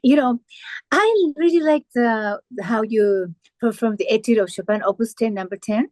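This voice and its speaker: A woman's voice: on the high side, moderate pace, a little mellow, no echo. A woman whose first language is Japanese, hosting an interview with an enthusiastic, complimentary manner and many affirmations. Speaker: interviewer, pianist host. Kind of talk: interview conversation.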